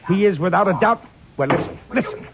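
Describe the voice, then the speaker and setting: An actor playing a fretful, weary, disdainful heir of a mansion, fussy and flustered, in 1940s radio drama style. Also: male voice, sits high, expressive, brisk, gravelly, crowd noise. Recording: old radio drama recording.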